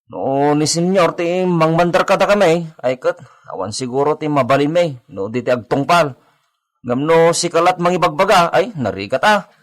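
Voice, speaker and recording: A man's voice: a little high, lively intonation, moderate pace, lightly acted. A man playing a worried, cautious village henchman in a radio drama, reluctant but obedient. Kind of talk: Ilocano radio drama acting.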